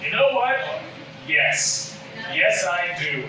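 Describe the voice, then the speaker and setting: A man's voice: high voice, big pitch swings, slow, heavily acted. Comedy table read: man acting as curmudgeonly washed-up older actor, angry and emphatic, distant noisy recording. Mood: grudging, stubborn. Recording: echoey room, distant mic, noisy.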